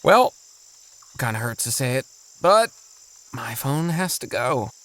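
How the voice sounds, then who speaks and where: A man's voice: medium pitch, big pitch swings, takes it slowly, fairly bright tone, dry recording. A man playing a thoughtful, kindly middle-aged father in a children's audio drama, explaining things reassuringly. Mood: pondering.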